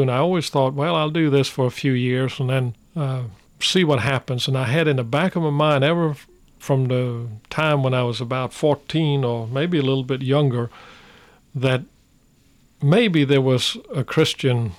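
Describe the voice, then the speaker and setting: An older man from Norway, a radio guest, telling his life story in a slow, halting way with many pauses. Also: mid-range voice. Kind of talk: reflective radio conversation.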